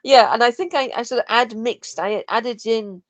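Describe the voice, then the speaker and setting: A woman's voice: a little high, moderate pace, no room sound. A woman chatting casually and thoughtfully about her work on a podcast. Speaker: podcast guest, sound and music designer for audio dramas. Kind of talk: casual chat.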